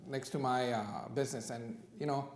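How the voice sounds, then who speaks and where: A man's voice: mid-range voice, steady tone, normal speed. A man co-hosting a studio talk show, easygoing and joking, rambling a little as he chats. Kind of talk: casual studio chat. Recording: roomy studio echo.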